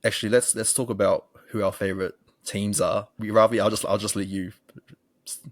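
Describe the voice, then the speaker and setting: A young man from New Zealand hosts a casual podcast in his local accent. His voice sits low and barely moves in pitch. The recording is dry and close. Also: level tone, a little fast, no room sound. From Auckland, New Zealand. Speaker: podcast host, sports journalist.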